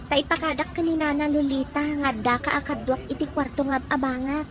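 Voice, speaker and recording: A woman's voice: high-pitched, steady tone, a little fast, background noise, natural voice. A woman acting a chatty, headstrong young Ilocano daughter in an audio drama. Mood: chatty, eager. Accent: Filipino, Ilocano. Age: young adult.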